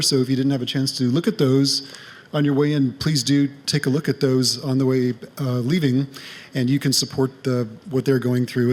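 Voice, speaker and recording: A man with a mid-range voice hosts a community gathering. He introduces guests from notes, pausing often on ums and uhs. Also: medium pitch, darkish tone. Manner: reads bios, frequent uh and um. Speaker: program host at congregation. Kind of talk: introductions and announcements. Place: congregation meeting hall.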